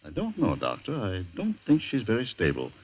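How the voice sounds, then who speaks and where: An actor playing a middle-aged guardian, polite but disapproving and insinuating, in 1940s radio drama. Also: male voice, medium pitch, huge pitch swings, brisk, husky. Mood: grave, disapproving. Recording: dull vintage radio recording.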